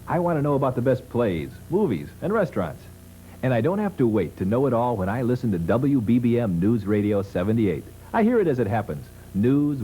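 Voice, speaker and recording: A man's voice: mid-range voice, warm tone, slight background noise, rough recording. A man reading a scripted advertisement for a radio station as its spokesman. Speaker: commercial spokesman.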